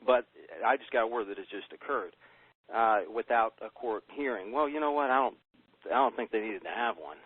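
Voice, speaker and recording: An American man gives an emphatic business pitch on a conference call, asking himself rhetorical questions and answering them. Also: normal speed, slightly rough, no echo. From United States. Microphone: telephone. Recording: tinny phone line.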